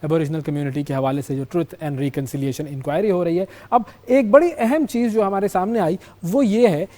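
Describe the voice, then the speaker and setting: Pakistani male news host, higher-pitched voice. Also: a little fast, dark tone. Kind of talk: formal current affairs presenting and interviewing.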